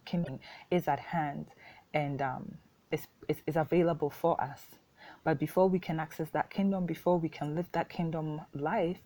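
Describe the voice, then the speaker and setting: Podcast host from ghana, twi native speaker, mellow soft-edged voice, just casually talking faith, repeats stuff to drive it home. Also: medium pitch. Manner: informal, explanatory, repeats points for emphasis. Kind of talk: casual faith talk, unscripted.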